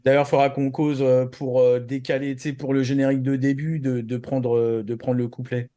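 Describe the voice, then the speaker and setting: A man co-hosting a show, chatting casually and giving opinions in slangy, halting speech. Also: medium pitch, level tone, warm tone. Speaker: co-host of an anime news show. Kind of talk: casual opinionated news chat.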